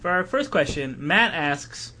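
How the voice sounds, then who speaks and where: Animated, bright-voiced male podcast host. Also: on the high side, unhurried, a little hiss or hum. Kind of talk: scripted promo reading and casual panel banter.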